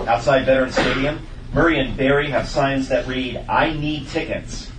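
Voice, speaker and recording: A man's voice: medium pitch, steady tone, moderate pace, fairly bright tone. A man reading stage directions as scripted narration at a live comedy table read. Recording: echoey room, distant mic, background noise.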